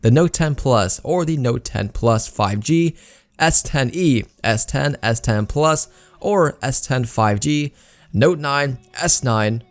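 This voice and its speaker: A man's voice: medium pitch, lively intonation, takes it slowly. A man hosting a gaming news video, explaining updates in YouTube commentary style with repeated emphasis words. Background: background music.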